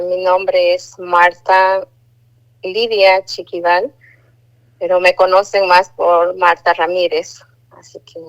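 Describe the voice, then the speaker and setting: A woman from Guatemala, calling in by phone to share a religious testimony and recite a prayer, measured and devout with hesitant fillers. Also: fairly low pitch, dull audio. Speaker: caller giving a religious testimony. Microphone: phone line.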